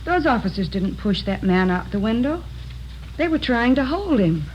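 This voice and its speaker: Earnest stenographer on muffled old radio. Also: female voice, on the high side, big pitch swings, moderate pace, over loud music. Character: earnest, precise female office stenographer testifying. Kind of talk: 1950s radio drama acting.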